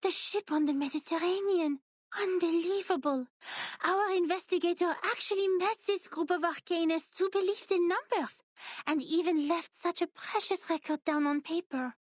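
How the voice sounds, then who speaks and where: Game role: a keen young investigator, very high, excitable, recorded dry. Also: female voice, no room sound. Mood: thrilled. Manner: excitable, quick to marvel. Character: eager bright young woman investigator. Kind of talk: game voice acting.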